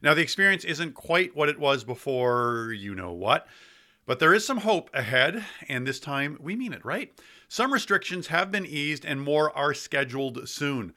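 A man's voice: a little high, lively intonation, moderate pace, sharp tone. A man hosting a local podcast, reading his narration in a wry, playful way and putting questions to guests. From Ontario, Canada. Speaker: podcast host. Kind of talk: scripted podcast narration and interviewing.

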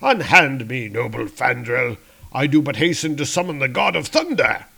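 A man's voice: medium pitch, lively intonation, normal speed, gravelly, sharp tone, slightly rough, heavily acted. A man voicing a rotund, boastful but cowardly warrior in a comic-book story, blustering in archaic speech. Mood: blustering worry.

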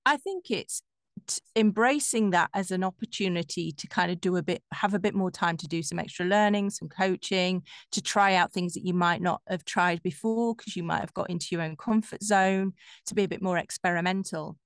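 A woman's voice: on the low side, moderate pace, dry recording, studio quality. A middle-aged woman with a Yorkshire accent, a voiceover artist chatting casually as an interview guest. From Yorkshire, England.

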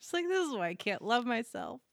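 A woman with a deep voice guesting on a podcast, chatting casually with self-mocking humor and swearing. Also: very animated, fairly quick, creaky, no room sound, studio quality.

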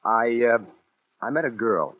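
A man's voice: medium pitch, lively intonation, unhurried, breathy edge, dry recording. An actor in a 1940s radio crime drama playing a cold, self-justifying American killer, brooding as he narrates and clipped when he talks. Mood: coldly calm. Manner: brooding first-person narration with hesitant, clipped dialogue. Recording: muffled 1940s radio broadcast, faint music.

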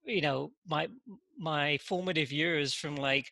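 A man, a podcast guest telling his story in casual interview talk, halting and full of "you know" and repeated words. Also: on the high side, creaky, pushed and bright, no echo. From England.

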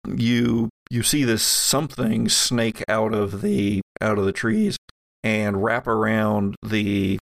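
A man running a tabletop horror game as game master, improvising the narration haltingly and thinking aloud. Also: lowish voice, fairly even intonation, vocal fry, no room sound.

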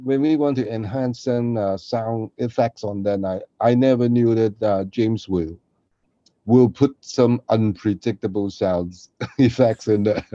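A man with a dark, mellow voice speaks casually in halting, non-native phrasing. The recording is dull and lo-fi, with noticeable room echo around him. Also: fairly low pitch. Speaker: podcast co-host, radio play performer. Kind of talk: casual chat.

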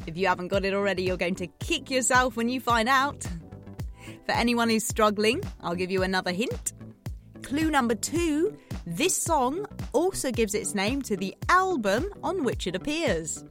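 A woman's voice: on the high side, big pitch swings, normal speed, a bit bright, faint music behind. A woman playing a chatty, needy late-night radio DJ in a scripted drama, playful and theatrical, coaxing her listeners. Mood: eager, coaxing. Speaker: radio host.

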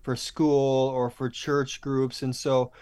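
A man chatting casually as an interview guest, telling stories in an animated, easygoing conversational way. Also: medium pitch, takes it slowly, clear, resonant tone, no room sound. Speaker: audio drama producer and podcast guest.

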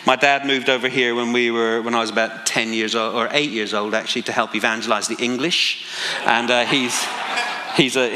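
A Northern Irish man in his forties preaches with a bright, forceful voice. He mixes self-deprecating asides with passionate emphasis. His words ring out with a long hall reverb. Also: medium pitch, a little hiss or hum. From Northern Ireland. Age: 40s. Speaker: pastor. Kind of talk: sermon.

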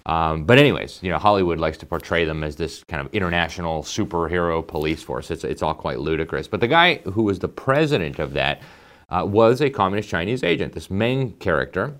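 A man's voice: low voice, animated, a little fast, a bit bright. A man hosting a political commentary show, talking emphatically and conversationally, with fillers and asides. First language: English. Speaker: host.

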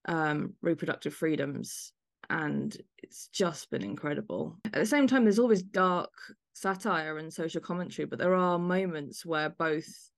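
Woman hosting an arts podcast, lowish voice, really dry sound, chatty. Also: lively intonation, normal speed, vocal fry, dry recording. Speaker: podcast host. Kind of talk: interview chat about a play.

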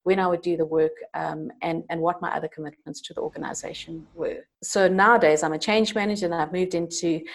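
South African woman, low and thoughtful. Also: steady tone, brisk, gravelly, few pauses. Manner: thoughtful, with frequent ums and restarts. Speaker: internal coach and change manager, guest. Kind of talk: conversational interview answers.

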